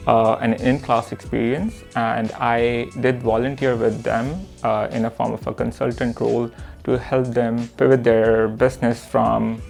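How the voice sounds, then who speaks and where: Gravelly-voiced young man from Pakistan. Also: medium pitch, a little mellow. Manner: earnest, measured, with frequent "uh" pauses. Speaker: product manager and course instructor. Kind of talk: reflective self-introduction to camera.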